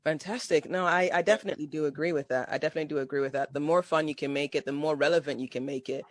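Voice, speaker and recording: A man's voice: a little high, steady tone, racing, no room sound, clean studio sound. A man hosting a podcast interview, asking his guest questions in an encouraging, upbeat way. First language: not Swahili.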